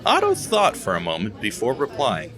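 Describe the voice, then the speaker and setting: A man narrating a scripted audio drama in a steady storytelling manner, over background music. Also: mid-range voice, normal speed.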